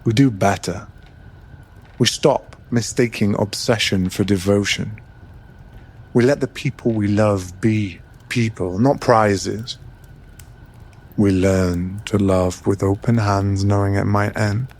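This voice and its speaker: A man as a husky, guilt-ridden old vampire. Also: fairly low pitch, fairly even intonation. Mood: rueful. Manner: soft, breathy, measured delivery. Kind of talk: audio drama, whispered ASMR.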